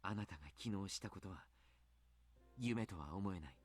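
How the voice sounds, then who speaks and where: An actor voicing a calm, polite adult male doctor who speaks in a soft, measured, formal way. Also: sits low. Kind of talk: Japanese game voice acting.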